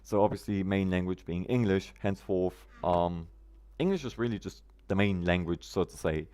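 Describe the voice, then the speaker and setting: A man's voice: deep voice, normal speed, a little mellow. Chatty man streaming a game. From Germany. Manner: chatty, greets viewers by name, quirky roundabout phrasing. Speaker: live streamer. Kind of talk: casual gameplay live stream chat.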